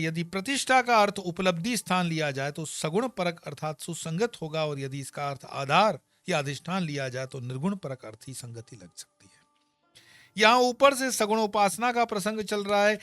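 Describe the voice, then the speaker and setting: Male spiritual teacher, bright forceful voice, emphatic discourse, close dry mic, faint music underneath. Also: a little high, dry recording. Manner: emphatic, scolding asides with homely examples. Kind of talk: Gita discourse.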